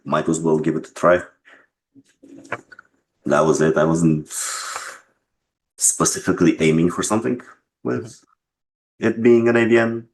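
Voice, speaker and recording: Very deep-voiced man, noisy recording. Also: steady tone, unhurried, pauses often. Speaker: game developer being interviewed. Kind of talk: casual reflective interview talk.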